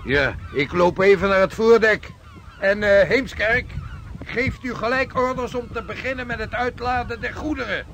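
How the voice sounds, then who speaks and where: An actor playing a driven, devout sixteenth-century ship's captain in a radio drama, speaking formally and with command. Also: male voice, high-pitched, moderate pace, creaky, fairly bright tone, a little hiss or hum. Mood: brisk, commanding.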